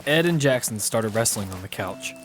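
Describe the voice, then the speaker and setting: A man's voice: fairly low pitch, expressive, normal speed, natural voice. A man playing a protective single father in an audio drama, both narrating and speaking in scenes. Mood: reflective narration. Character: Damian, protective single father of a six-year-old girl. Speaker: narrator and lead actor. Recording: loud music under the voice. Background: music.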